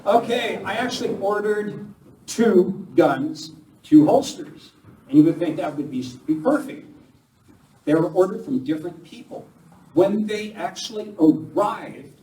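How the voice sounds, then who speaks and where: A man's voice: high voice, expressive, unhurried, dark tone, a little hiss or hum. An older man doing casual, self-deprecating comic patter as an amateur actor on stage. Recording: echoey room, distant mic, crowd.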